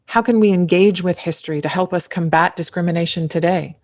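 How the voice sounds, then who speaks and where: A woman's voice: fairly low pitch, fairly quick, darkish tone, no echo, clean studio sound. A woman reading a scripted podcast introduction as its announcer.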